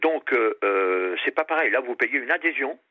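A man's voice: mid-range voice, animated, moderate pace, dry recording. An older man from La Réunion calling a radio show by telephone, talking candidly in an earnest, outspoken way over a muffled line. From La Réunion, France. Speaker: radio phone-in caller. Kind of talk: candid personal conversation.

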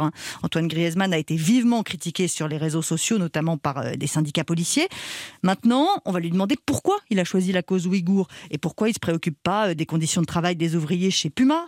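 A woman delivering a radio sports editorial in a brisk, assertive way. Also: medium pitch, a little mellow, no echo, clean studio sound. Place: radio studio.

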